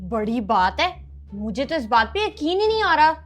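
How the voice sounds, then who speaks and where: A woman's voice: sits high, sing-song, moderate pace, a bit bright, faint music behind. A woman playing a sarcastic, tattling older sister who complains and bickers in a comic family skit. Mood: sceptical.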